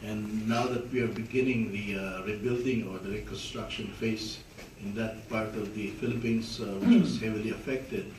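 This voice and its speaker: A man who serves as a consul general gives formal thanks, stopping often on hesitant fillers between phrases. His voice is somewhat low. It echoes in a large room, picked up from a distance. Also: steady tone, a little mellow, a little hiss or hum. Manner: hesitant, many filler pauses. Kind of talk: formal thank-you remarks.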